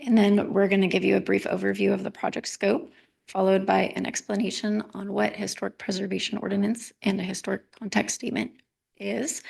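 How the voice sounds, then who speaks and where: A woman consultant presenting her firm at a council meeting, soft-spoken and quiet. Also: mid-range voice, flat delivery, brisk, vocal fry.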